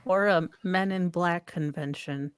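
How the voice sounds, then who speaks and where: A woman's voice: fairly low pitch, measured pace, smooth. Chatty, wry woman co-host. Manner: chatty, wry, opinionated asides. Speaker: co-host commenting on a trial. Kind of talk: casual commentary chat.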